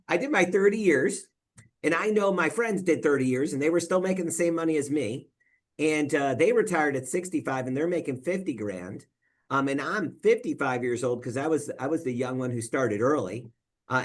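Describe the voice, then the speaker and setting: A man presenting as a financial advisor, explaining step by step in a conversational way and often checking in with "right?". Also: a little high. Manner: walks through worked examples step by step.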